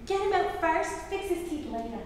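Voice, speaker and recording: An actress playing a naive, cheerfully illogical young wife in a staged 1940s radio comedy. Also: female voice, very high-pitched, lively intonation, normal speed. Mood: chirpy. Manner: chirpy, naive, bouncy. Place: theatre stage. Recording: echoey theatre, far from mic, faint hiss.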